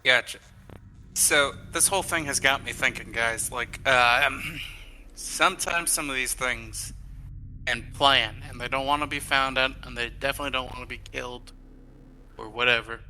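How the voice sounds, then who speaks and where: Very bright-voiced man thinking aloud. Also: mid-range voice, normal speed, a little hiss or hum, rough recording. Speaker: tabletop game player. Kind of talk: casual in-game discussion. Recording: music playing behind.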